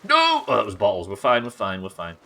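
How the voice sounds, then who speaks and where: Low-voiced, animated English man streaming games. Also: fast, a little hiss or hum. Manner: sweary, jokey, reads chat aloud. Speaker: live game streamer. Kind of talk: casual gaming commentary with chat banter. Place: indoors at a desk.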